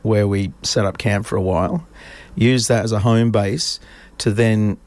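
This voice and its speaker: A man in his fifties from Australia, chatting as a guest in a casual interview. He rambles through stories with plenty of ums and restarts. His voice sits a little low. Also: fairly even intonation, unhurried, darkish tone, no echo. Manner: rambling storyteller, many fillers and restarts. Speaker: podcast guest.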